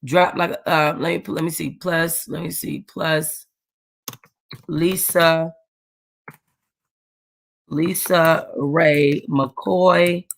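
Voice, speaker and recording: Female online host, lower register, unhurried delivery, informal and chatty. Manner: informal, thinking aloud. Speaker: online book club host and channel creator. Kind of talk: casual livestream-style chat to followers.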